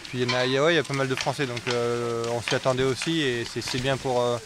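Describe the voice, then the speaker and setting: A young man athlete talking in a post-race interview outdoors at a snowy venue, measured and pausing often to find his words. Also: medium pitch, little pitch movement. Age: young adult. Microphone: camera mic close to the face. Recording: outdoor noise in the background.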